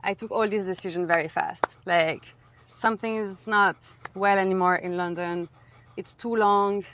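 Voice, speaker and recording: A woman in her thirties with a French accent, a guest in a relaxed interview, talking casually and full of fillers. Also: medium pitch, moderate pace, noisy background. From France. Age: 30s. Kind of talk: casual conversation.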